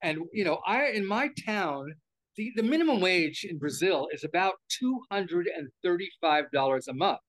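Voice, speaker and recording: Rambling older man, high voice. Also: expressive, normal speed, a bit bright, poor audio. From United States. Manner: rambling, repeats words and restarts often. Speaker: interviewee. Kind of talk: casual interview talk.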